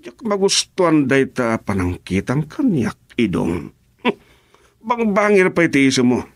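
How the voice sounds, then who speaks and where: A man's voice: mid-range voice, wildly swooping pitch, unhurried, no room sound. A man playing a brash, impatient henchman eager for a fight in a radio drama.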